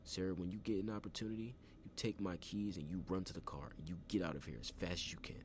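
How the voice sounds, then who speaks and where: A man's voice: sits low, fairly even intonation, fast, pitched above the performer's own voice, natural voice. A man voicing a frightened but firm mother giving urgent instructions. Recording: clear background noise.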